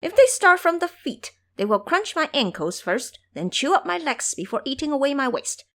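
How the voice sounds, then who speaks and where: A woman's voice: sits high, big pitch swings, normal speed, a bit bright, pitched above the performer's own voice, heavily acted. A woman voicing a brash, playful male monkey-monk trickster in an audio drama, boastful and teasing. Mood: cheeky.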